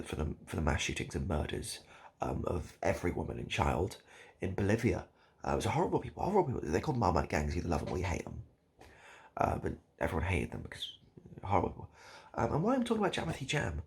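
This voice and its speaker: A man improvising a comic monologue straight to the listener, halting and repeating himself in a mock-earnest, absurd way. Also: deep voice, vocal fry, slightly rough. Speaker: online video host.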